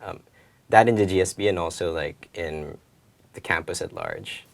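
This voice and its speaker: Male student, twenties, very low voice with heavy fry, informal panel talk. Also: moderate pace. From Philippines. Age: 20s.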